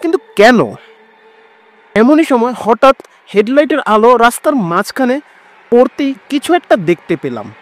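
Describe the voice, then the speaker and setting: A man's voice: extremely high pitch, big pitch swings, measured pace, long pauses, no echo. A man narrating a first-person horror story in a suspenseful, dramatic way, as a frightened and weary ambulance driver. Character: frightened, weary male ambulance driver telling his tale. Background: music.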